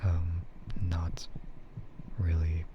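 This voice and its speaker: Male ASMR streamer: deep, slow, breathy half-whisper, laid-back rambling, slightly muffled recording with faint noise. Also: level tone. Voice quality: soft, breathy, often slipping into a whisper. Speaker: streamer and VTuber host. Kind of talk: ASMR casual chat.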